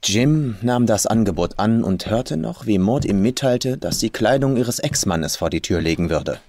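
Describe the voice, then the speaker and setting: A man reading a scripted horror story with atmospheric, deliberate delivery. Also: fairly low pitch, lively intonation, a little mellow, no echo. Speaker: professional voice actor, audiobook reader. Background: atmospheric music.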